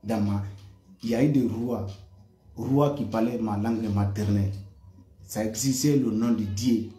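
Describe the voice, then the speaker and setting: A man's voice: lowish voice, fairly even intonation, measured pace, warm tone, echoey room, soft background music. A man delivering an impassioned monologue to his viewers, heated and repetitive, often stammering on repeated words. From West Africa. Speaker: online commentator.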